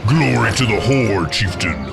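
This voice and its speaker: A man playing a loyal, dutiful orc underling in a fantasy game, with a gravelly voice. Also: on the low side, expressive, unhurried. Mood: dutiful alertness. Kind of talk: fantasy game cutscene acting. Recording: music under the voice.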